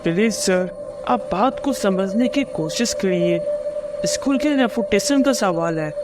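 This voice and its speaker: Man playing a high-pitched dismissive school principal. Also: expressive, measured pace, very noisy. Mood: placating. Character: self-protective male school principal, smooth-talking and dismissive.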